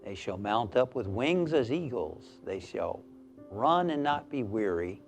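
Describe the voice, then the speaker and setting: A man leading a guided meditation as a minister, speaking in a calm, soothing, measured way with long pauses. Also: on the low side, soft background music.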